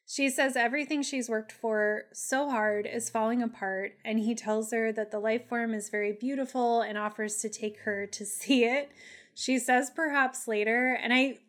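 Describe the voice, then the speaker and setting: A woman with a fairly high voice talks through a TV episode as a podcast co-host. She is opinionated and emphatic, and swears freely in a casual, chatty way. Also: fairly even intonation, moderate pace. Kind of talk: casual TV episode recap and commentary chat.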